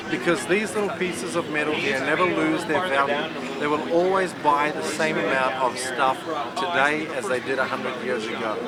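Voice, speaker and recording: A man with a New Zealand accent giving an earnest, explanatory campaign speech outdoors, handling props as he talks. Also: mid-range voice. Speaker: political campaigner speaking to camera. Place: outdoors at a busy event. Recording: loud crowd noise behind.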